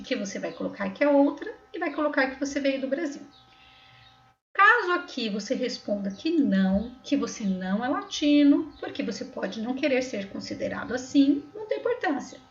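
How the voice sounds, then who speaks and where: A Brazilian woman with a São Paulo accent and a high voice talks patiently through a screen tutorial, step by step. She often pauses to check that the viewer is keeping up. Her voice sounds roomy and a little dull, with a faint hiss behind it. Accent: Brazilian Portuguese, São Paulo. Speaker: tutorial host. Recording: roomy, slightly dull recording.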